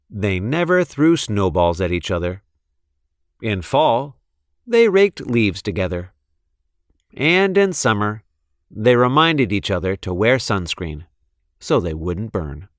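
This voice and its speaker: A man reading a children's storybook expressively, with deliberate, measured phrasing. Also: lowish voice, no echo, clean studio sound. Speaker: storybook reader.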